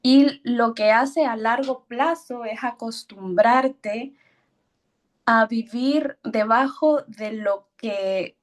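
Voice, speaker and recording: A woman in her thirties from Ecuador tells her work story as a guest speaker, hesitant and a little nervous, with fillers and soft laughs. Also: on the high side, extremely slow. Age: 30s. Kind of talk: personal story talk.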